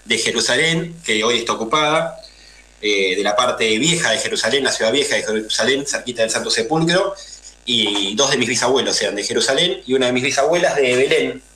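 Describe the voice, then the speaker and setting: A man's voice: medium pitch, animated, brisk, pushed and bright, echoey room, a little hiss or hum, rough recording. A man in his thirties from Argentina with a Buenos Aires accent, answering radio interview questions earnestly and with many fillers. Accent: Buenos Aires Spanish. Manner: earnest, explanatory, lots of fillers. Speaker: guest.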